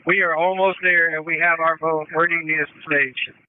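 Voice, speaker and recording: A man's voice: on the high side, little pitch movement, a little fast, clear, resonant tone. A man on a rescue crew making brief calls over two-way radio. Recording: dull two-way radio with clicks and noise.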